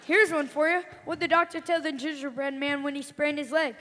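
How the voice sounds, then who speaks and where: A high-pitched, jokey husband from a corny radio comedy duo , played by a woman, trading setups and punchlines in old-time stage banter. The recording is noisy, with the long reverb of a big hall. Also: fairly even intonation, fairly quick, sharp tone. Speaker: comedy double-act performer.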